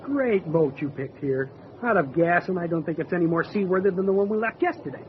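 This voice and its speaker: A muffled, noisy old radio recording carries a sharp-tongued castaway. His high, swooping voice is scolding, sarcastic and excitable, in old radio drama style. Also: brisk. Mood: exasperated. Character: exasperated, sharp-tongued male castaway sailor.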